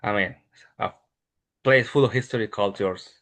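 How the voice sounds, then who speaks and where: A man's voice: lowish voice, moderate pace, a bit bright. A man of 31 with a Mexican accent and Spanish as his native language, answering casually as an interview guest. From Mexico City and State of Mexico, Mexico.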